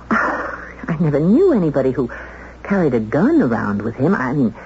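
Expressive, earnest young radio drama secretary. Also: female voice, deep voice, normal speed, heavily acted. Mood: uneasy. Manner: polite, hesitant, primly indignant. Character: prim, earnest young secretary, excitable but principled. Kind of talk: 1970s radio drama acting. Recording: muffled 1970s radio broadcast, some hiss.

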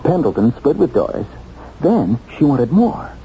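An actor playing a keen, wisecracking newspaper investigator in a 1970s radio drama, with an emphatic, hard-boiled manner. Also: male voice, medium pitch, sing-song, measured pace, dark tone. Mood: determined, urgent. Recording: muffled, hissy old radio recording.

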